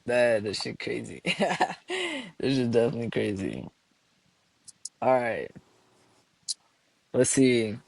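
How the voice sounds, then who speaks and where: A young man hosting a casual podcast chat, reading out questions and reacting with laughs and quick agreement. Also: mid-range voice, creaky, dry recording.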